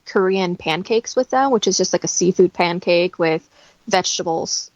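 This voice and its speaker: A woman's voice: fairly low pitch, normal speed, a little mellow, no echo. Woman, dull lo-fi sound, chatting. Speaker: guest. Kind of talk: casual chat.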